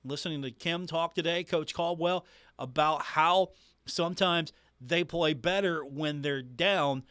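A man's voice: a little high, unhurried, fairly bright tone, clean tone, no echo, clean studio sound. A man from West Virginia hosting a sports talk radio show in a conversational monologue, repeating phrases and putting questions to listeners. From Huntington, West Virginia, United States.

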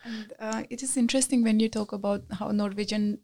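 A woman's voice: mid-range voice, steady tone, fairly quick, dark tone. A woman from India, a thoughtful, hesitant guest in an interview. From Northeast India. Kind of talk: conversational interview.

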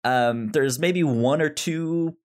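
A man co-hosting a film podcast in casual discussion, halting and stuttering, often repeating sounds and words. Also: fairly high pitch. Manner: halting, hesitant delivery.

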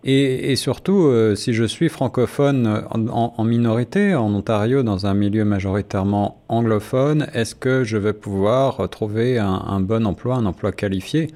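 A man's voice: lowish voice, moderate pace, hardly any pauses, dark tone. A man hosting a radio interview who restates and sums up his guest's points. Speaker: radio host.

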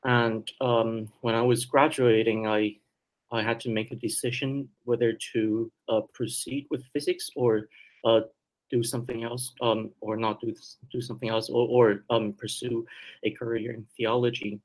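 A man from Taiwan with a slight Taiwanese Mandarin accent, answering interview questions hesitantly with many pauses and restarts. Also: medium pitch, level tone, poor audio. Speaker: guest theologian.

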